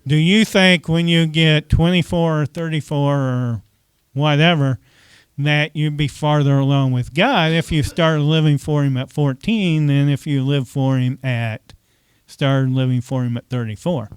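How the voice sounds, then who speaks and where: A man's voice: on the high side, steady tone, measured pace, smooth, dry recording. A man preaching a conversational sermon as pastor, often asking the congregation questions and saying "okay" between points.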